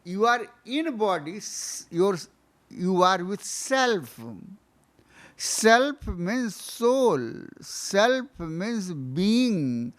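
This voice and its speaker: A man giving a spiritual lecture, teaching through repeated questions and swelling loudly on key words. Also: high voice, expressive, extremely slow.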